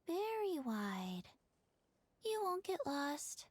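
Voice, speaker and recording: Slow, listless little girl. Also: high-pitched, no room sound, exaggerated voice. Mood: flat, polite. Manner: slow, halting, flat delivery in short phrases. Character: small forgetful undead girl herb gatherer, listless and polite. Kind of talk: video game voice acting.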